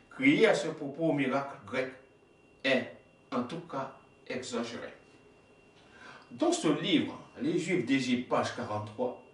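Emphatic preacher in an echoey room. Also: male voice, a little high, distant mic. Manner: emphatic, gesturing, rhetorical questions, stumbles and self-corrects. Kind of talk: sermon reading and explaining texts. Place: small indoor worship room.

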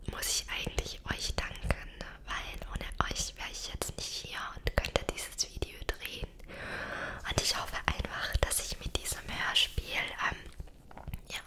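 A woman in her late twenties speaks in a soft, breathy whisper, chatting personally to viewers in a gentle, hesitant ASMR style, close to the microphones. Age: late 20s. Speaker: ASMR creator. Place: small indoor setup with dark backdrop. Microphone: two close microphones either side.

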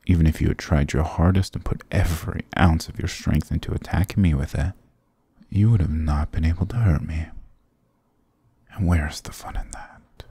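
A smug demon king, acted by a man, toys with his captive in an intimate ASMR roleplay. His voice is extremely low and gravelly, recorded close and dry. He is sarcastic, dominant and teasingly condescending. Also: normal speed, mellow, no room sound, full character voice. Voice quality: very deep, gravelly, breathy.

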